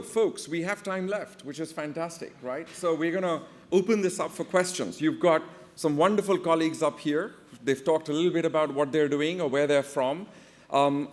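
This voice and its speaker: A man moderating a panel informally, giving direct instructions to his audience. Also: on the high side. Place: conference hall with audience. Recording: reverberant hall sound.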